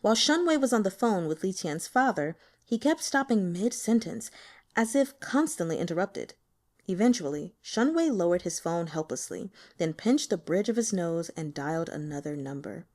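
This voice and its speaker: A woman reading a novel aloud as an audiobook narrator, with expressive, dramatic storytelling. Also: fairly low pitch, normal speed. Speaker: audiobook reader.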